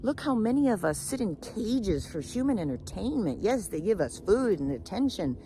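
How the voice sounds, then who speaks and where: A world-weary male peacock from a storybook, done by a woman narrator, raised in pitch and emphatic. He laments in insistent, repeated phrases. Noise is clearly audible behind the voice. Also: sing-song, normal speed, dark tone, natural voice. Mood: bitter lament.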